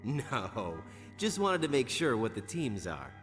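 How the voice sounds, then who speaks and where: Swooping, wisecracking young hero. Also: male voice, mid-range voice, very animated, slow pace, fairly bright tone, soft background music. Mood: flippant. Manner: flippant, sarcastic. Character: wisecracking young male hero, irreverent and casual. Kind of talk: video game cutscene voice acting. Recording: long reverberant hall.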